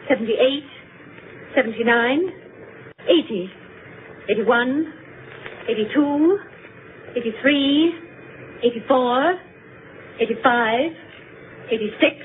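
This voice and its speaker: An actress playing a composed, gracious society hostess in an old radio drama, speaking with deliberate precision. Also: female voice, fairly high pitch. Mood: measured, suspenseful. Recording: tinny, very noisy old radio recording.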